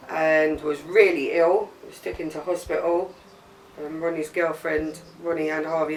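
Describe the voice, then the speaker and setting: A woman in her forties answering interview questions in clipped, matter-of-fact sentences. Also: on the low side. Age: 40s. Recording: distant mic, music underneath.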